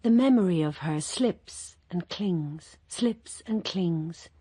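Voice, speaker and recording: A woman narrating a radio drama in a measured, unhurried storytelling manner. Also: lowish voice, animated, mellow, husky, no echo. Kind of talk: scripted narration.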